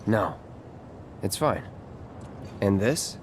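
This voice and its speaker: An actor playing an aloof young mercenary in a video game, speaking in a cool, clipped, deadpan manner. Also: male voice, lowish voice, very slow, slight background noise. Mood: cool, reserved. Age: young adult. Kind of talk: video game cutscene voice acting. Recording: background music under dialogue. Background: game music.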